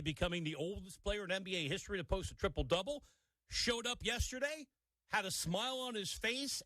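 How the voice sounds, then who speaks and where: A man's voice: high-pitched, a bit bright, no echo, rough recording. An older man hosting a sports talk radio show, giving an opinionated monologue with wry asides. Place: radio studio.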